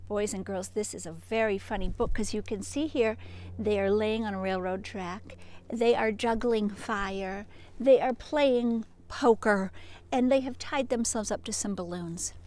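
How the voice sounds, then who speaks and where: A woman doing an animated read-aloud for children like a teacher, playful and expressive, with dramatic hushes and emphasis. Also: mid-range voice, measured pace.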